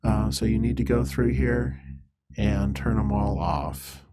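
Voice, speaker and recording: Male tutorial presenter, slightly low pitch, very unhurried, halting and deliberate technical explanation. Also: over a noisy crowd. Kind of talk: hands-on synthesizer tutorial narration.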